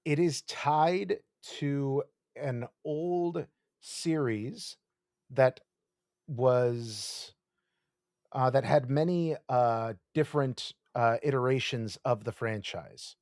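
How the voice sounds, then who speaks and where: Slow-talking playful male podcast host. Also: medium pitch, darkish tone, dry recording, studio quality. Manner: playful, gives hesitant clues full of fillers. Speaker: podcast co-host running a quote quiz. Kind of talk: casual chat.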